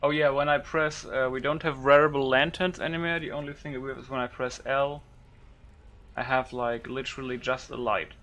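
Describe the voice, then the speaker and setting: A man's voice: medium pitch, steady tone, moderate pace, a bit bright. A man doing loose, informal game commentary as a YouTuber, thinking aloud without a script. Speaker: gaming YouTuber showing a modded game. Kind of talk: casual unscripted game commentary.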